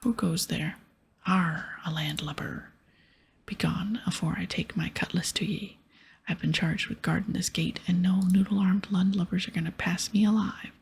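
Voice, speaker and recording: Woman as a wooden pirate lookout, hushed, breathy, creaky, full of boastful bluster. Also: on the low side, fairly even intonation, normal speed, exaggerated voice, poor audio. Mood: menacing. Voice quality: whispered, breathy. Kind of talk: whispered ASMR game dialogue.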